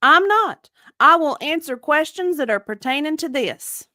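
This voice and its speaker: Woman acting a bright, defensive, high-voiced female witness. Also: animated, unhurried, no room sound, clean studio sound, full character voice. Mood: indignant. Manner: evasive, insistent, clipped. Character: defensive, indignant woman witness being deposed. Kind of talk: dramatic reading of a deposition transcript.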